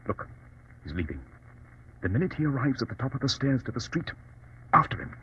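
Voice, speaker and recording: A man's voice: medium pitch, sing-song, brisk, bright, forceful tone. A man playing a keen, commanding English detective, precise and imperious, in an old radio drama. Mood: calm, watchful. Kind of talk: 1940s radio drama acting. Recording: dull, noisy old radio recording.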